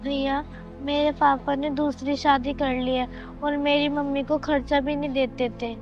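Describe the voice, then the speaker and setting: Sad poor schoolgirl acted by a female, really high voice, polite n plaintive, muffled lo-fi audio. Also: little pitch movement, a little slow. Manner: plaintive, deferential. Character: poor, distressed, respectful schoolgirl rag-picker. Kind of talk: dramatic short-film acting. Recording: dull lo-fi sound under music.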